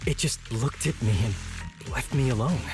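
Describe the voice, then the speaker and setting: Man acting, game outcast, earnest, trusting, wondering, slightly hesitant, mid-pitched, backed by music. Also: normal speed, close to an everyday voice. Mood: puzzled, recounting. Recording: music behind the voice.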